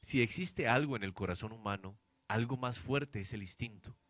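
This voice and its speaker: A man's voice: on the low side, normal speed, fairly bright tone, dry recording. A man narrating a radio drama in a scripted, dramatic storytelling style.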